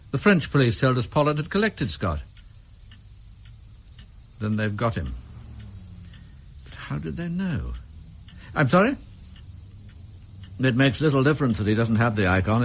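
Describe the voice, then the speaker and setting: An actor playing a senior British intelligence official in a radio drama, formal, clipped and businesslike with an undertone of worry. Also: male voice, medium pitch, a little hiss or hum, close to an everyday voice.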